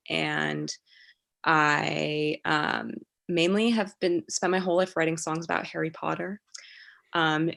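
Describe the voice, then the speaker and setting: Young woman, American accent, low pitched with a fried, gravelly edge; halting and casual, heard over a video call. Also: a bit bright. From China. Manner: hesitant, many ums, laughs easily. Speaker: musician guest.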